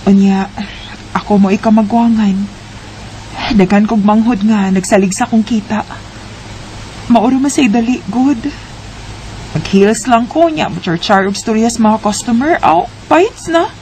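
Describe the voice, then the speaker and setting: A young woman acting a hardworking bar promo girl in a homemade radio drama, soft and bashful. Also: on the high side, measured pace, pauses often, slight background noise, lightly acted, low-quality recording. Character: hardworking young woman supporting her siblings. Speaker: bar promo girl who dropped out of school.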